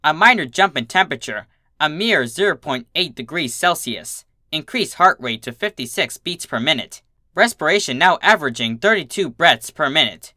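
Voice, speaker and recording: Bright, animated story narrator. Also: mid-range voice, normal speed, no room sound, studio quality. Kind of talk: expressive first-person fan fiction reading.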